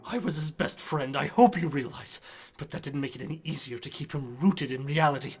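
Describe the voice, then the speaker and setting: An actor playing an educated gentleman, a scientist's wary loyal friend, speaking formally and expressively in a radio drama. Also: fairly high pitch, brisk, husky. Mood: troubled. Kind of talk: theatrical radio drama acting.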